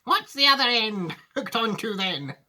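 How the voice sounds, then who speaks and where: A woman's voice: fairly high pitch, sing-song, a little slow, pushed and bright, voice pushed up, full character voice. A woman voicing a cantankerous, outspoken old grandmother in an indignant storybook reading. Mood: suspicious. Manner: indignant, challenging.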